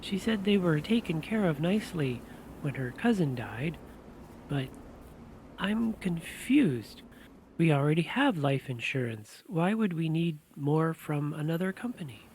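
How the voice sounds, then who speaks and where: A man's voice: fairly high pitch, sing-song, a little slow, a little mellow, a little hiss or hum, rough recording. A man playing a puzzled, wary husband and prospective client in an audio drama, speaking hesitantly and questioningly. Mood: hesitant, confused.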